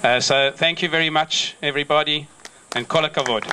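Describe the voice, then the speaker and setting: A man's voice: fairly high pitch, steady tone, brisk, very noisy. A man speaking at a gathering about a subsidized housing program, often checking in with "right?" and using fillers. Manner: repeats "right?" and hedges with fillers. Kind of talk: public announcement speech.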